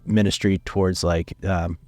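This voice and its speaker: A young man talking casually as an interview guest, relaxed and rambling, with many fillers and restarts. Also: deep voice, normal speed, no room sound. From United States, raised in Venezuela. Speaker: filmmaker guest. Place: studio.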